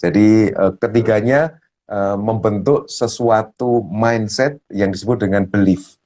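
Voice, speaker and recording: Deep-voiced man explaining slowly. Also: dark tone. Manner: slow, thoughtful, stepwise explanation with fillers. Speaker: coach. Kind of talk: explaining ideas in a talk show.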